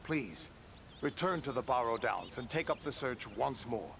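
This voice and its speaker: Serious ranger captain, fantasy game, formal old-time wording, mid pitch, some background ambience. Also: male voice, expressive, vocal fry, background noise. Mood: grave, urgent. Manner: formal, measured, archaic phrasing. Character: grave, dutiful male ranger captain, concerned for his men. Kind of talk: fantasy video game acting.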